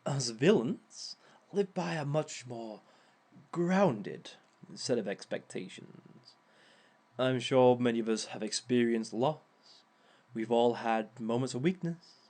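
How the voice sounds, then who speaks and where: A man's voice: mid-range voice, expressive, slow pace, a little mellow. A man voicing a sly, theatrical male villain who lectures on dating in an audio roleplay, with chuckling asides. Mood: smug. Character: smug male villain giving a dating seminar.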